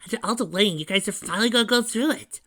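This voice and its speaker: A man's voice: high voice, racing, sharp tone, pitched above the performer's own voice. A man voicing an eager, teasing young boy fox sidekick in an amateur story reading. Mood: playful, excitable.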